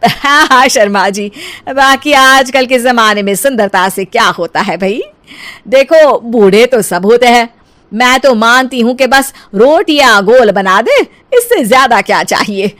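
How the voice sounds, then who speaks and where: A woman's voice: fairly high pitch, lively intonation, unhurried, fairly bright tone. A woman voicing a proud middle-aged mother-in-law in a cartoon story, motherly but chiding and emphatic. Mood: preachy. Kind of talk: cartoon story character acting.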